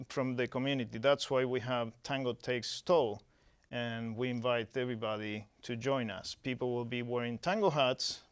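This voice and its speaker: A man's voice: mid-range voice, clean tone. A man who directs a music festival, explaining it in a TV studio interview step by step, with frequent restarts.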